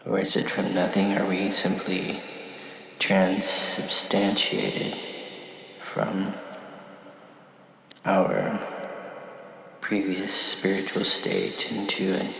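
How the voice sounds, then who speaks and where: Man, deep gravelly voice, reflective monologue, halting phrases, roomy echo. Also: monotone, bright, forceful tone. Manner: musing, halting phrases with long pauses. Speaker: monologue speaker. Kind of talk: slow reflective spiritual monologue.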